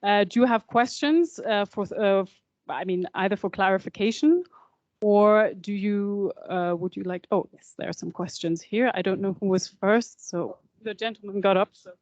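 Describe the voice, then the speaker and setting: A woman's voice: medium pitch, moderate pace, darkish tone, no echo, muffled recording. A woman moderating a workshop discussion who speaks hesitantly, with many fillers and restarts.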